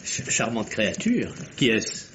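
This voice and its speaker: An affable, urbane captain in an old radio mystery. He speaks with genial politeness and very lively intonation. Faint noise runs through the vintage recording. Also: on the low side, unhurried, exaggerated voice. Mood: affable, amused. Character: affable, urbane male captain, amused and courteous. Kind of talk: 1950s French radio mystery acting.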